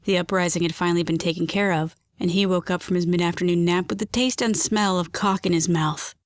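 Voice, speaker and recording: A woman's voice: fairly low pitch, fairly even intonation, a little fast, no room sound, studio quality. A woman reading scripted fiction aloud as a story narrator.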